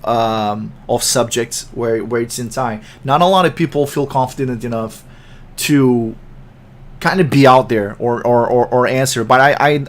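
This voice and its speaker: A raspy-voiced man, an English speaker, hosting a casual developer interview and talking fast. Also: medium pitch. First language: Portuguese. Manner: fast-talking, self-conscious, rambling. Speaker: podcast host and game developer. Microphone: computer microphone.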